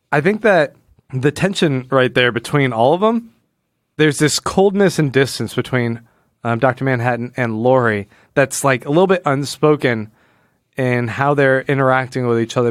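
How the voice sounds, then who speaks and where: A man with a smooth, clear voice hosts a casual discussion show. He sits at a middle pitch and is recorded close and dry. He breaks things down conversationally, slipping into quoted lines as he retells them. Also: a little slow, dry recording. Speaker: podcast host. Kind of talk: casual comic book analysis chat.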